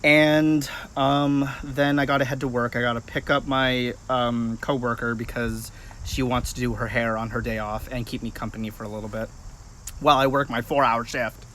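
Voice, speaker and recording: A man's voice: medium pitch, flat delivery, normal speed, fairly bright tone, slight background noise. A man in his late twenties chatting casually and informally to viewers on a livestream. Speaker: livestream host, practitioner of folk magic.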